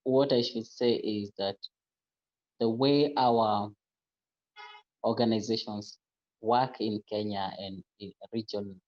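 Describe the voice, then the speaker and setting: A man speaks on a conference panel in a low, level voice, pausing now and then to find his words. The audio sounds dull and lo-fi. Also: steady tone, a little mellow. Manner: deliberate, with hesitant uh pauses. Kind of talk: virtual panel remarks.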